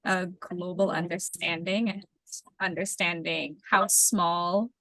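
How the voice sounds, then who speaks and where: A young adult woman from the Bahamas, an urban planner, giving measured, explanatory answers in an interview and repeating words as she thinks. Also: on the low side, fairly even intonation, bright, forceful tone, no room sound, low-quality recording.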